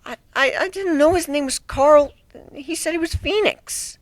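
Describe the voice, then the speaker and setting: A man's voice: very high voice, normal speed, no room sound, pitched above the performer's own voice. A man voicing a young female griffin on trial in a dramatic story reading, timid and defensive, with a hesitant, stammering delivery. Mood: nervous. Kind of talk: dramatic fan fiction reading.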